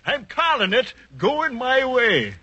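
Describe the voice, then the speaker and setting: An actor playing an earnest film director in a 1940s radio comedy. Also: male voice, very high-pitched, wildly swooping pitch, slow, sharp tone, slightly rough, exaggerated voice. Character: earnest film director pitching his picture. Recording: dull old 1940s radio recording.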